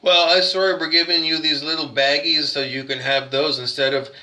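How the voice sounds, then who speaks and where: A man's voice: fairly high pitch, steady tone, a little fast, bright, forceful tone, low-quality recording. A Canadian man rambling through a profane rant, full of restarts and fillers. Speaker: hotel guest complaining.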